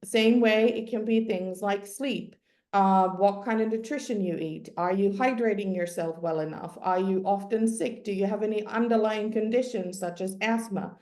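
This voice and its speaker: Finnish-native woman presenting a sports science webinar, mid pitch, lots of ums, echoey room. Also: moderate pace, darkish tone. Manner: explanatory, with frequent ums and restarts. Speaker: sports psychology consultant. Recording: roomy echo.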